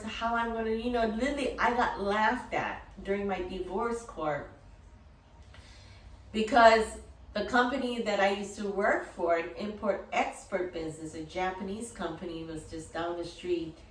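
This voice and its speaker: A woman's voice: medium pitch, moderate pace. Woman telling her story, echoey and distant. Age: middle-aged. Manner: animated, rambling, acts out remembered dialogue. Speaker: dog-business entrepreneur and course creator. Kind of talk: casual personal storytelling to camera. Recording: roomy echo, distant from mic.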